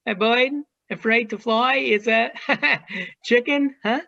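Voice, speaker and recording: Muffled audio, woman doing a teasing grown-up seagull in a little play, drawls it out slow. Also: on the high side, gravelly, pushed and bright, full character voice. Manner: teasing, drawn-out delivery. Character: teasing, worldly adult seagull perched high above the city. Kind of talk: amateur virtual one-act play acting. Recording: dull lo-fi video call audio.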